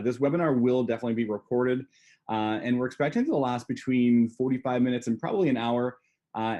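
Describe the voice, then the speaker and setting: A man's voice: mid-range voice, a little mellow. A man hosting a business webinar, introducing a guest and covering housekeeping in a friendly, loose way with many fillers. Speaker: webinar host. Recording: remote webinar audio.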